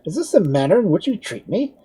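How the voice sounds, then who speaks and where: A man's voice: high-pitched, very animated, quick pace, dark tone, breathy edge, pitched above the performer's own voice, full character voice. A man voicing a pompous, fat town magistrate who blusters and gives indignant commands. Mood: furious.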